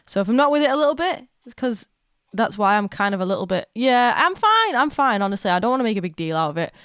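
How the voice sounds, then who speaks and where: A young woman streaming from home, chatting in a rambling, excitable way while getting over a cold with a slight cough. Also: on the high side, quick pace, smooth, no echo, clean studio sound. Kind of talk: casual live-stream chat.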